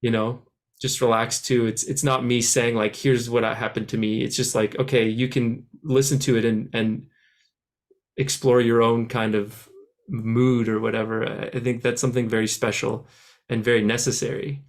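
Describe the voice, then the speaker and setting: A man's voice: mid-range voice, flat delivery, normal speed. A man, born in France and raised in Canada, talking at length as a guest musician in a casual interview, with many restarts and filler words.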